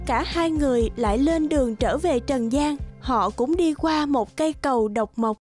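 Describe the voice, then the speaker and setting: A woman's voice: sits high, normal speed, a little mellow. A woman reading scripted fairy-tale narration as the storyteller. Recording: clear music under the voice.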